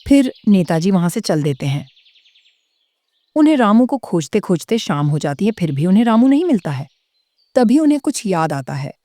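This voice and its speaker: Expressive, mellow woman storyteller. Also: medium pitch, normal speed, no room sound. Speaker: narrator. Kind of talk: scripted horror story narration.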